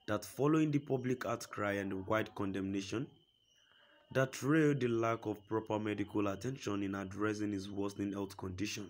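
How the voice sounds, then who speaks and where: A man reading a news report aloud in a steady, formal way, quoting a statement at length. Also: fairly low pitch. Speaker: news reader.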